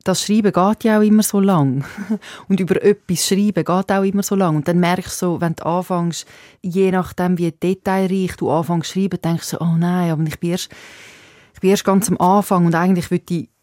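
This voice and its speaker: A woman's voice: fairly low pitch, fairly even intonation, a little fast, a little mellow, no echo. Reflective Swiss German woman, close and dry. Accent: Swiss German, Zurich/Winterthur. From Switzerland. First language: Serbo-Croatian. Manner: thoughtful, self-questioning with restarts. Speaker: author interviewed. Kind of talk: reflective casual talk.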